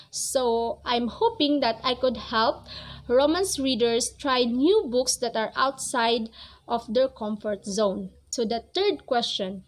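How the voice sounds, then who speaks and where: A young woman in her twenties, not a native English speaker, talking earnestly to the camera about reading in a small room. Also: on the high side. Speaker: book vlogger. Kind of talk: casual talk to camera. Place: small cozy bedroom with string lights. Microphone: camera at close range.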